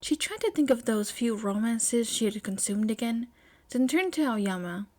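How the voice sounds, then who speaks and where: Higher-pitched woman reading a story. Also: lively intonation, moderate pace, darkish tone. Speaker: fan fiction podfic reader. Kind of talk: expressive scripted story narration.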